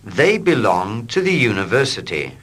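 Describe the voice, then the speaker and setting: A man reading short English dialogues slowly and clearly for learners, line by line. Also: on the low side. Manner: slow, clear and expressive. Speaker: English teacher. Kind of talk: slow scripted reading for learners.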